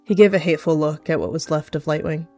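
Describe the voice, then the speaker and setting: A man's voice: fairly high pitch, steady tone, quick pace, a little mellow, soft background music. A man giving dramatic third-person narration as a player in a story roleplay.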